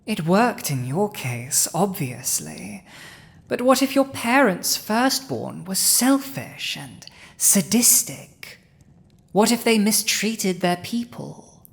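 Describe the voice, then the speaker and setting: A woman's voice: medium pitch, big pitch swings, gravelly, heavily acted. A woman playing a proud villainous dragon who has seized a human throne, speaking formal, archaic lines in a scripted audio roleplay. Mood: condescending, amused. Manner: formal archaic diction, haughty, deliberate.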